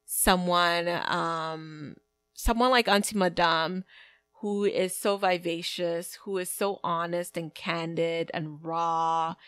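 A woman's voice: on the low side, a bit bright, dry recording. A woman hosting a podcast, introducing her guest in an enthusiastic, gushing way. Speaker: podcast host. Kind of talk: casual podcast intro and interview.

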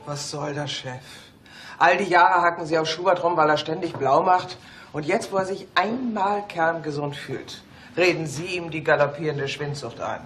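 A man plays a shrewd police office colleague in a radio drama. The voice sits fairly high, dry and matter-of-fact, and speaks its mind. Light noise runs underneath. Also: normal speed, a bit bright, natural voice. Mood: dry reproach. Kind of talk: German radio play acting.

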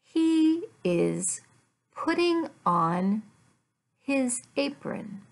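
A middle-aged woman teaching English, speaking deliberately with careful enunciation and repeating simple phrases for learners. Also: high-pitched, very animated, gravelly. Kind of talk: slow, simplified language lesson read aloud. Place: furnished room at home.

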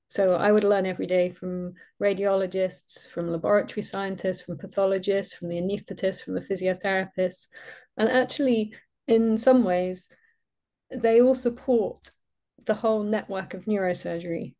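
A British woman in her forties, a neurosurgeon, gives a measured, reflective webinar talk over a video call. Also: medium pitch, dark tone. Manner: measured, reflective, with frequent ums. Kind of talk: webinar presentation. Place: indoor room, video call.

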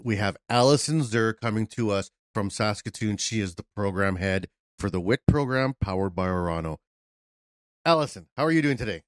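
A man's voice: on the low side, lively intonation, moderate pace, no echo. Chatty male podcast host, dry mic. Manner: jokey, chatty, many fillers. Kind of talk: casual interview chat. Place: home studio, video call. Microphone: studio mic on boom.

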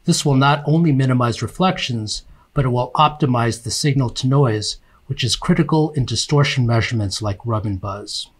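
A man reading scripted instructional narration for a technical slide presentation, explaining in measured, separate phrases. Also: mid-range voice, husky.

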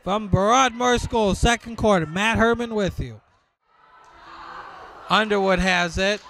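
Gravelly man calling basketball. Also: high-pitched, slight background noise. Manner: slow clipped calls with occasional shouting. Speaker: basketball play-by-play announcer. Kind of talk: live sports commentary. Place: school gym with crowd. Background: crowd and bouncing ball.

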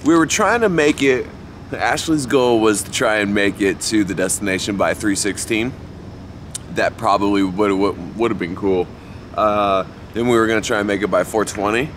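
A man's voice: lowish voice, normal speed, a bit bright. An American man in his thirties chats casually to the camera in a laid-back, slangy, sweary way. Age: 30s. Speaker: vlogger. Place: outdoors at night at gas stations and parking lots. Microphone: handheld camera at about arm's length. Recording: noisy outdoor roadside, clear background noise.

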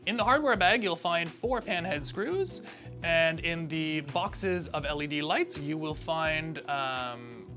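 A man in his thirties giving a step-by-step installation tutorial in a small indoor room, with frequent "uh" fillers. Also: fairly high pitch. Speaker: tutorial presenter. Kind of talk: instructional how-to narration.

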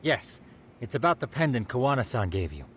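An actor playing a geeky, composed young tech expert in a video game, explaining things in a measured way. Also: male voice, medium pitch, very animated, lightly acted. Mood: explanatory. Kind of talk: video game cutscene dialogue.

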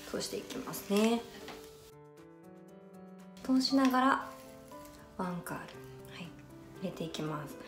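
A young woman hairstylist walks through a hair tutorial in a polite, explanatory tone, often talking while she works the iron. Her voice rings in a roomy indoor space, and music plays clearly behind her. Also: medium pitch, a little mellow. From Niigata, Japan. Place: small bright room indoors.

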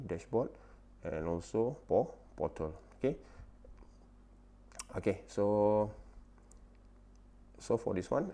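A man's voice: low voice, mellow. A Malaysian-accented man in his thirties giving an informal slide lecture, repeating points and tagging phrases with "eh" and "okay". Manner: repetitive, with frequent "eh" and "okay" tags.

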